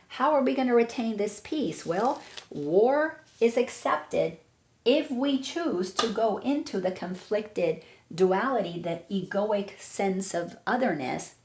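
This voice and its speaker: Gravelly-voiced woman, spiritual coach. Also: medium pitch, animated, measured pace, a little mellow, slight background noise. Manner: earnest, reflective, with emphatic repetitions. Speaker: awareness coach. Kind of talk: spiritual teaching talk.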